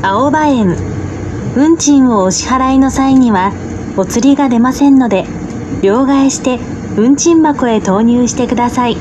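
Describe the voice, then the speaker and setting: Female transit announcer, high voice, formal and precise, scripted stop announcements, heard through heavy vehicle noise. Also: a little slow. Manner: formal, polite, carefully enunciated. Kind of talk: scripted recorded bus announcements. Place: recorded announcement playing inside a bus. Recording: loud vehicle and air-conditioning noise. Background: loud bus vehicle noise.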